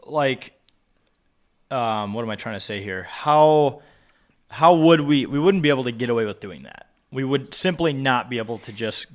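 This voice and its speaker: A man's voice: medium pitch, expressive, moderate pace. A man co-hosting a sports podcast, bantering casually with plenty of swearing and joking. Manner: profane, joking.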